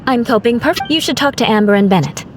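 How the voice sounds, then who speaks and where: A woman's voice: fairly high pitch, lively intonation, fast talker, slight background noise, natural voice. Woman voicing a composed, commanding knight. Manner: formal, composed, decisive. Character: composed, formal, commanding young female knight. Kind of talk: video game voice acting. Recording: music playing behind.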